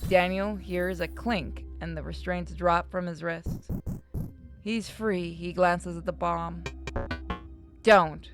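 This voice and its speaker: A woman's voice: on the low side, fairly even intonation, takes it slowly, over music. A woman reading a story aloud expressively as a streamer, with casual asides. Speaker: streamer reading a visual novel aloud to chat.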